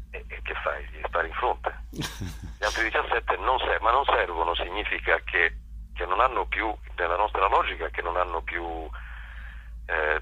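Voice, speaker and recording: A man being interviewed about his work, explaining in a conversational way, haltingly, often repeating himself as he searches for words. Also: deep voice, pushed and bright, husky, no echo, low-quality recording. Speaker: guest, actor and playwright.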